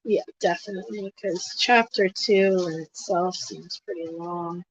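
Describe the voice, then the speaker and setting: A hoarse, congested woman hosting a livestream, rambling casually with lots of fillers and restarts. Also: lowish voice, steady tone, a little slow, muffled recording. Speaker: host of an advocacy livestream. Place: home desk.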